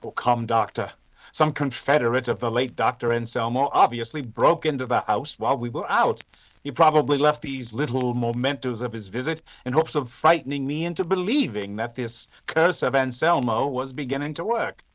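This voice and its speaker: Casting: male actor as wry, unruffled detective, mid-pitched, urbane and dismissive, radio drama, slightly lo-fi recording. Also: normal speed, fairly bright tone, no room sound, natural voice. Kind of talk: old-time radio detective drama acting.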